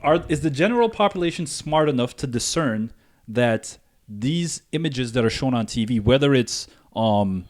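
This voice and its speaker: A man from Guyana speaks at a middle pitch as a podcast co-host. He talks casually but deliberately, building each point with asides and rhetorical questions. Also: animated. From Guyana, moved north when young. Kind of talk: casual opinion discussion.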